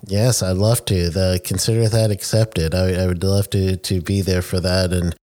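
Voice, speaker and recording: A chatty, friendly man from the United States with a lowish voice. He talks easily and laughs often, recorded dry and close. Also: flat delivery, moderate pace, a little mellow, dry recording. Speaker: interviewer, safety trainer. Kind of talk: conversational interview.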